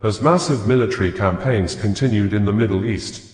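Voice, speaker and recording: A man reading a scripted news bulletin in a steady, formal delivery. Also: lowish voice, a little fast, reverberant. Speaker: news reader.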